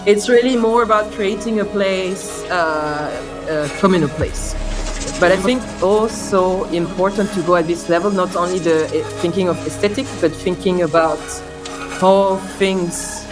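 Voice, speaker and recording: A young woman with a French accent speaks in a thoughtful, hesitant way, her low-pitched voice often pausing to restart or search for a word. Clear music plays underneath her. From France. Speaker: interview guest, sound artist. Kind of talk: reflective conversational interview.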